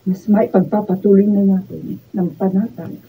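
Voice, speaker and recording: Very dark-voiced, forgiving Filipino mother played by actress. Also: mid-range voice, fairly even intonation, slight background noise, close to an everyday voice, low-quality recording. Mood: solemn, forgiving. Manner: measured, solemn, gentle. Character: resigned, forgiving, long-suffering mother. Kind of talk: Filipino radio drama acting. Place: radio drama recording studio.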